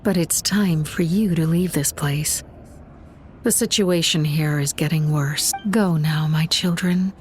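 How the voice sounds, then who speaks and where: A woman voicing a gentle, nurturing ancient guardian spirit in a video game, with a tender, solemn manner. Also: low-pitched, steady tone, a little slow, faint music behind.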